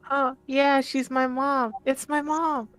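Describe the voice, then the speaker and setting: A man's voice: very high voice, flat delivery, slow, a bit bright, faint music behind, voice pushed up, exaggerated voice. A man voicing an anxious, eager orphaned teenage boy in a tabletop roleplaying game. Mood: eager, innocent.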